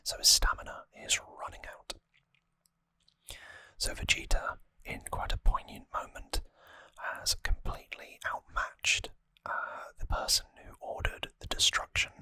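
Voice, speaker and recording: Close, breathy ASMR whisper, very dry. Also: no room sound. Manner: soft-spoken, informal, explanatory. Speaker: ASMR host. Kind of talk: ASMR whispered story recap. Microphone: close microphone, dry.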